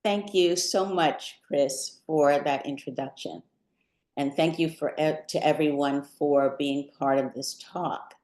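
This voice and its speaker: A woman, a guest poet, giving prepared remarks before a reading in a deliberate, articulate way. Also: low voice, fairly even intonation, darkish tone. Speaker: poet and visual artist, guest reader. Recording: roomy, echoing sound.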